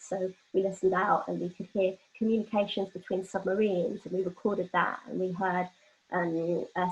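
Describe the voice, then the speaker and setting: A young woman leading an informal online workshop, explaining things in a hesitant way with pauses mid-phrase. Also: medium pitch. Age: young adult. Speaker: workshop leader. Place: online video call from home. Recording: dull lo-fi online call audio.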